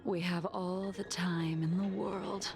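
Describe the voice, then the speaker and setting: A woman voicing an aloof, stern swordswoman who speaks in a cool, measured, quietly mocking way. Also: fairly low pitch, flat delivery. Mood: taunting. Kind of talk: video game voice acting. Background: game music.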